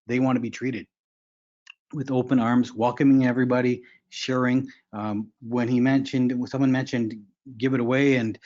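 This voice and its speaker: A man's voice: mid-range voice, darkish tone. Earnest man in his forties, dull lo-fi audio. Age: 40s. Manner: earnest, frank, with many fillers and restarts. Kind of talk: conference panel remarks.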